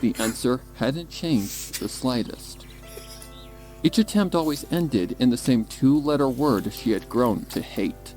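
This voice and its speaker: Expressive man narrating a story. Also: mid-range voice, unhurried, faint music behind. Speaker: fan fiction narrator. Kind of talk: dramatic scripted story narration.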